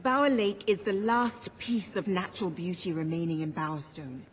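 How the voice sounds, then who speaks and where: A woman's voice: lowish voice, moderate pace, natural voice. An actress playing an earnest, defiant activist pleading her case at court in a video game. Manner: earnest, impassioned, formal.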